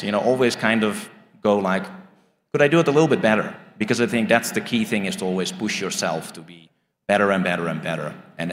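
A man's voice: low voice, fairly bright tone. A middle-aged man from the Netherlands, a native Dutch speaker, giving personal advice as a panelist into a handheld microphone. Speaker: panelist, game industry professional. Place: conference stage. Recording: echoey hall stage sound.

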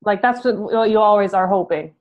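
A young Canadian woman chatting casually as an interviewed musician, halting and peppering her talk with "like", on a video call. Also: mid-range voice, darkish tone. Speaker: band musician being interviewed. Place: room at home. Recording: dull, lo-fi video call audio.

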